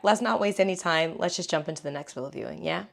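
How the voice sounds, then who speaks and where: An American woman with a slightly raspy voice, chatting casually and playfully in slangy vlog narration as she presents a house tour. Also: lowish voice, fast talker, over music. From United States. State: raspy, tired voice.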